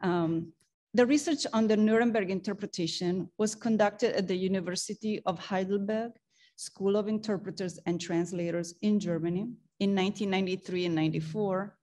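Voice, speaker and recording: A woman's voice: mid-range voice, warm tone. Woman giving an academic talk. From Italy. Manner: articulate, earnest, with occasional ums. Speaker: guest speaker and author. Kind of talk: academic presentation. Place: university lecture hall.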